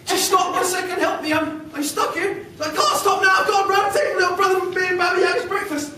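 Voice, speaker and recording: Brief: squeaky chummy fish with a put-on accent, as a male storyteller does it, heard from afar. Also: fairly even intonation, brisk. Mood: pleading. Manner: put-on accent, says "oi" and "my lovely". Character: small chatty male fish, stranded. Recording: echoey room from far off, noisy.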